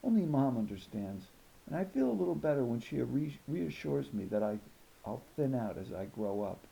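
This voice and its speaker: A man reads a children's novel aloud as a storyteller. His voice is very soft-edged and warm, with some gravel in it. The delivery moves up and down expressively from phrase to phrase. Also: mid-range voice, very animated, normal speed, rough recording. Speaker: audiobook reader.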